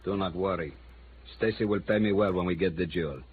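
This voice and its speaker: A man's voice: sits low, a little fast, lightly acted. An actor playing a menacing henchman from the desert in an old radio drama, speaking tersely, formally and with suspicion. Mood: suspicious. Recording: faintly noisy, narrow-band old radio recording.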